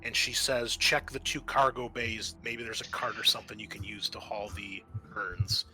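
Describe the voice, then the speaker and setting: A man's voice: lowish voice, little pitch movement, normal speed, pushed and bright, soft background music, poor audio. A man running a tabletop game as game master, narrating in a casual, conversational way.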